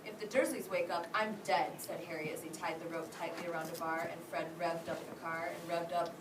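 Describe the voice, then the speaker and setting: A woman's voice: on the low side, little pitch movement, a little fast. A woman schoolteacher reading aloud to her class and prompting the children with questions. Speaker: elementary school teacher. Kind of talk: interactive read-aloud to a class. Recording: roomy, distant mic, clearly noisy.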